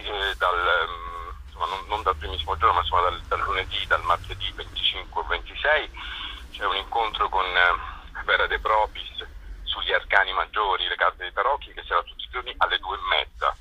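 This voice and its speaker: A man's voice: low voice, pushed and bright, slight background noise, low-quality recording. A man speaking informally in a radio interview over the phone, searching for his words as he explains. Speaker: festival co-director being interviewed.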